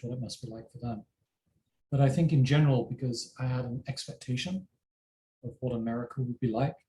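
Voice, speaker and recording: A middle-aged man with an English accent, a parent answering interview questions in a thoughtful, measured way. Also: mid-range voice, dark tone. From England. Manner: thoughtful, measured, self-deprecating. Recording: roomy with faint ambient noise.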